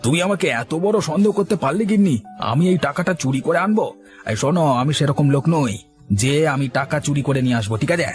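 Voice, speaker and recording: Man voicing a commanding village man, quick delivery, stern, music under. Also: a little high, lightly acted. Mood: defensive. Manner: commanding, threatening, brisk. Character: stern bossy village man, middle-aged. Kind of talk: Bengali cartoon voice acting.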